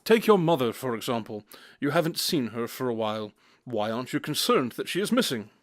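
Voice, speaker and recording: A man playing a cerebral, dry-witted consulting detective in an audio drama, speaking formally and deliberately with self-assurance. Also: mid-range voice, big pitch swings, dry recording, lightly acted. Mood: probing. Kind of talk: modern audio drama acting.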